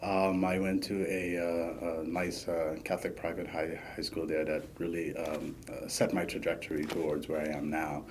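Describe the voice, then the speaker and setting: A man, a podcast guest talking casually with many pauses and fillers. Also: deep voice, slight background noise. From Bahamas. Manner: relaxed, many fillers while thinking. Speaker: podcast guest, PhD student. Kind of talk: casual interview chat.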